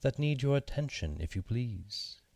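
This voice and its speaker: A man voicing a crafty, politely insistent cat in a children's fairy tale, speaking in measured phrases. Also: medium pitch, very animated, vocal fry, a little mellow, no echo, studio quality, pitched above the performer's own voice, exaggerated voice.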